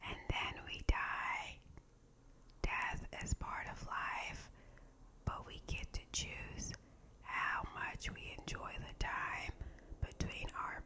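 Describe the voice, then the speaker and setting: Slowly whispering woman, ASMR reading. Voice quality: breathy unvoiced whisper. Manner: soft, slow, deliberate phrasing. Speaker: ASMR host reading aloud from a meditation book. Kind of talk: ASMR guided meditation reading.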